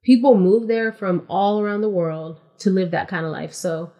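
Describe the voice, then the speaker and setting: A woman's voice: medium pitch, normal speed, dark tone. A woman from California, a podcast guest in casual interview chat, explaining things matter-of-factly and step by step with frequent "like".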